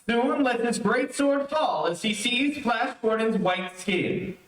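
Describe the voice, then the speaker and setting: A man's voice: very high voice, lively intonation, slow, a bit bright. A man reading scripted narration for an old-time radio adventure serial. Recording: echoey, distant streamed stage audio.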